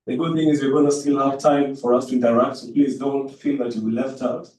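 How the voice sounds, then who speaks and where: A man's voice: medium pitch, level tone, fairly quick, darkish tone. A man informally moderating a question session and handing the floor to others. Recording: echoey room, distant mic, faint noise.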